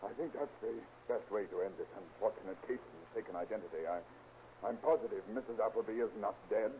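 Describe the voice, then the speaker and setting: An actor playing an evasive doctor suspected of murder, hesitant and formally polite, in 1930s radio drama style. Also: male voice, lowish voice, fast, dark tone, natural voice. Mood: flustered. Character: evasive male doctor, calm but faltering murder suspect. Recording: dull, hissy 1930s radio recording.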